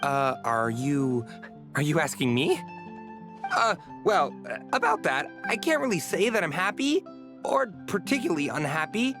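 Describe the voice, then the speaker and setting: A woman voicing a halting, unsure, noncommittal office clerk in a video game, with music behind her. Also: sits low, sing-song. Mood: hesitant. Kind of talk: English video game character acting.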